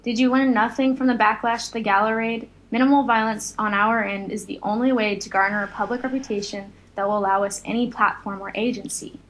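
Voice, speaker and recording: Woman acting stern, fairly high-voiced superhero. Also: fairly even intonation, fairly quick, hardly any pauses, natural voice, poor audio. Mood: reproachful. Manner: earnest, scolding. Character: stern, principled young superhero Drogon, reproachful. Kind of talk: audio drama acting.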